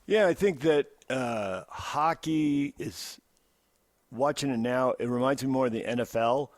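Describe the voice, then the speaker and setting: A man with an American accent hosts sports talk radio in a conversational style. His voice sits at a medium pitch, recorded close and dry with very little room sound. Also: unhurried, no room sound.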